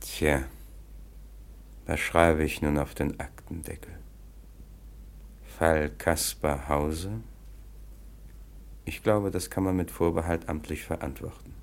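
An actor playing a stern, blustering police inspector from the 19th century in a radio play, commanding and officious. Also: male voice, a bass voice, steady tone, takes it slowly, vocal fry, long pauses, heavily acted. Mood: pondering, official.

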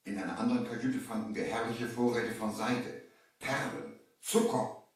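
A very echoey, slightly distant 1970s radio play recording of a man acting a shipwrecked master telling his tale. He has a medium-pitched voice and speaks with solemn, theatrical diction, as if recounting a dreadful sea adventure to listeners of an old story record. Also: fairly even intonation, normal speed, natural voice. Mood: fearful, hushed wonder. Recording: roomy, echoing 1970 vinyl radio play, distant.

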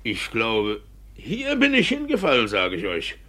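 An actor playing a clumsy, evasive old village judge in a 1950s German radio play, grumbling and exclaiming in a halting, theatrical way. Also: male voice, medium pitch, huge pitch swings, bright, forceful tone, full character voice. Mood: flustered, defensive. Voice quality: gravelly, husky. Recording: vintage 1950s radio recording.

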